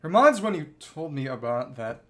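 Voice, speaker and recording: A man's voice: on the high side, big pitch swings, normal speed. A man chatting casually as he plays a game on a livestream. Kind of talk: casual livestream gameplay chat and reading along.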